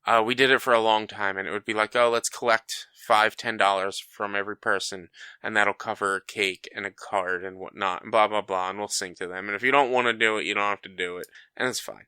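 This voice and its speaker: A man's voice: lowish voice, brisk, hardly any pauses, clean tone, no echo. A man in his thirties co-hosting a podcast, telling stories in casual chat, swearing freely and acting out what people said. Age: 30s. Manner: animated storyteller, swears freely, acts out quotes. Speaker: podcast co-host.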